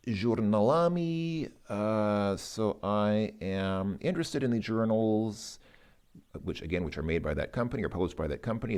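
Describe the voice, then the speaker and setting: Slow talking guy w deep voice doing lesson exercises out loud, kinda hesitant and dry. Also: vocal fry. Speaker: language learner presenting lesson exercises. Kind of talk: informal lesson walkthrough, thinking aloud.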